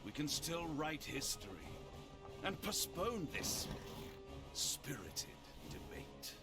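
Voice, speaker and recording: An actor playing an imperious, coldly persuasive warlord in a video game, theatrical and condescending. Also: male voice, on the high side, sing-song, slow pace, loud music behind the voice, heavily acted.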